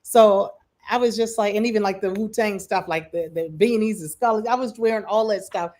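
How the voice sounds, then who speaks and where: A chatty woman in her forties with a Midwestern American accent, co-hosting a relaxed livestream. She tells stories with lots of "like" and "um" and quick asides, and her pitch sits in a middle range. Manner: animated storyteller, frequent "like" and "um", quick asides. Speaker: livestream co-host. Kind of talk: casual chat.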